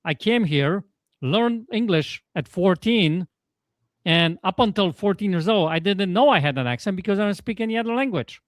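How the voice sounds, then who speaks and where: Polish-accented man, higher voice. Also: expressive, moderate pace, no echo, studio quality. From Poland. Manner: emphatic, keeps asking "guess what". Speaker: guest coach. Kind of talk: casual interview talk.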